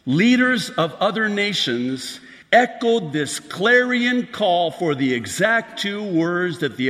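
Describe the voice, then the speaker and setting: Bright, lively-voiced preacher man in a hall. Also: a little high. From Lebanon. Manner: emphatic, building to raised outbursts. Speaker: pastor. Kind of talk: preaching a prophecy sermon. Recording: long hall reverb.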